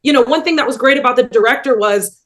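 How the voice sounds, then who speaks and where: A woman's voice: on the high side, fast. A woman, an actress, chatting animatedly about her work in a casual interview over a video call. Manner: animated, laughing storyteller.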